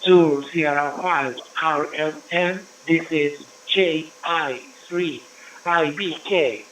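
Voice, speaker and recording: Man on ham radio, very slow formal procedure, higher pitch, distant echoey signal with faint hiss. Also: fairly bright tone. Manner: formal, measured call procedure. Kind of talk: amateur radio contact.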